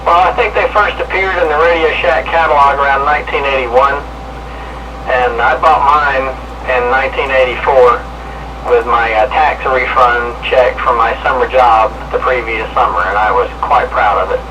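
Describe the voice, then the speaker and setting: A middle-aged man chats casually over a two-way radio. His mid-pitched voice sits far from the mic. Clear radio noise runs through the whole transmission. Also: fairly even intonation, normal speed. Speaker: CB radio hobbyist. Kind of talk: casual radio check-in chat about equipment. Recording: distant, loud CB radio static and noise.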